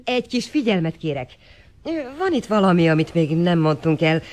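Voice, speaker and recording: Man as keen boy detective, lively intonation. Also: on the high side, background noise, lightly acted. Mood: eager. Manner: eager, announcing. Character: keen, sensible boy detective, eager organizer. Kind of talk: 1980 radio drama acting.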